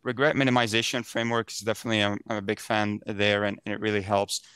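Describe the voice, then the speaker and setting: A man speaks as an interview guest in a low, smooth voice. He explains his points conversationally, and the sound is dry with no room echo. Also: level tone, quick pace, a bit bright. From Middle East. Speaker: podcast guest, software engineering director.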